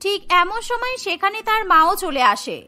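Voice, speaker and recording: A woman's voice: very high voice, big pitch swings, normal speed, bright, forceful tone, soft background music. A woman reading scripted narration for a cartoon story.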